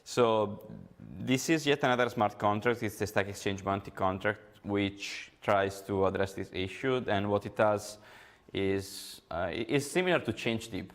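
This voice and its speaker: A man's voice: on the low side, moderate pace. A young man with an Italian accent giving a technical conference talk, explaining at length with many ums and uhs. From Italy. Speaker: conference speaker.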